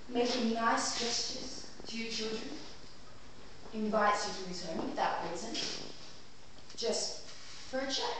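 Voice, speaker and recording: A woman on stage playing a pompous, melodramatic defense lawyer, addressing a jury with grandiose, deliberate delivery and dramatic pauses. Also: on the high side. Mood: cold, accusing. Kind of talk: theatrical courtroom monologue in an amateur stage play. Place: small theatre stage before a live audience. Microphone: distant camera microphone. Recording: echoey theatre, far from mic, faint noise.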